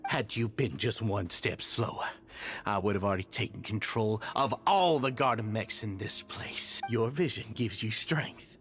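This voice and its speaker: A man's voice: lowish voice, a little slow, a bit bright. An actor playing a blustering, crooked male official in a fantasy game, indignant and accusatory. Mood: gloating. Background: game music.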